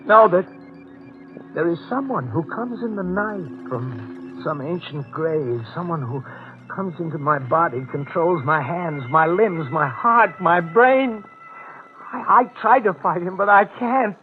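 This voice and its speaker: Tormented killer on tinny old radio. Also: male voice, a little high, animated, music in the background. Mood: anguished, desperate. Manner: theatrical, intense, halting menace. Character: tormented homicidal male asylum escapee, menacing and pleading. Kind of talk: old-time radio horror acting.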